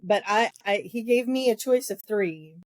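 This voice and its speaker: A woman's voice: mid-range voice, normal speed, no echo. A sniffly woman co-hosting a casual book discussion podcast, easygoing, hesitant with ums and light laughs. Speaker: podcast co-host.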